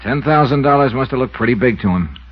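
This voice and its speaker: A man's voice: medium pitch, animated, quick pace, husky. A man playing a cool, probing American insurance investigator in a 1950s radio drama, with a dry, clipped manner. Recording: dull, noisy old radio recording.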